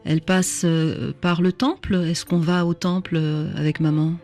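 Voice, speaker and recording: Radio host asking gentle questions. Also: mid-range voice, little pitch movement, normal speed. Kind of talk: radio interview. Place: radio studio.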